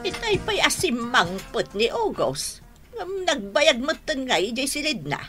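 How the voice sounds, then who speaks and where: A woman playing an excitable, suspicious mother who nags and exclaims in an Ilocano radio drama, with an Ilocano accent. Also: high-pitched, measured pace, faint music behind, full character voice. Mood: suspicious, nosy. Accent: Ilocano, northern Philippines. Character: excitable, suspicious, loudly scolding mother of grown son. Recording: clearly noisy radio drama recording.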